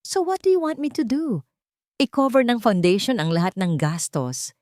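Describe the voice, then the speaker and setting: Female narrator as icy male tycoon, clipped and dismissive, lively pitch swings, for radio-style romance drama. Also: normal speed, no room sound, clean studio sound. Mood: cold, irritated. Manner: curt, dismissive, mixing English with Tagalog. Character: cold, curt, wounded billionaire businessman, adult man.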